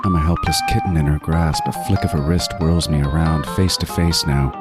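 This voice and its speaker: An extremely deep male voice , acted by a man, tells a horror tale as a sardonic serial killer. He is profane and dramatic, a wisecracker with panic under the bravado. Music plays clearly behind him. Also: fairly even intonation, brisk. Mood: tense, sardonic. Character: meticulous male serial killer narrating his hunt, crude, sardonic, panicking. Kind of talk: first-person horror audio drama narration.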